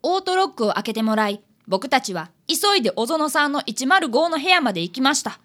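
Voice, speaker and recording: Woman voicing an eager high-pitched schoolboy apprentice detective. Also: big pitch swings, brisk, a bit bright. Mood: earnest. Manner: polite, eager, clearly enunciated. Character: earnest, polite fifth-grade boy, apprentice detective. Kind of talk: radio drama acting and narration.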